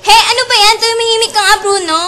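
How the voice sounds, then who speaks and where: A woman's voice: extremely high pitch, quick pace, pushed and bright, slight background noise. A woman playing a bossy, scornful young woman in an amateur radio drama, with a Filipino accent. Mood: scornful, furious.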